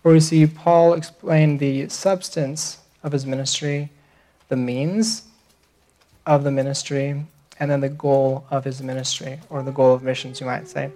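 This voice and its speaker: An earnest young man gives a missions talk to a church congregation. He speaks at an ordinary pitch and often pauses with ums and restarts his sentences. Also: little pitch movement, dark tone. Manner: earnest, hesitant with many fillers.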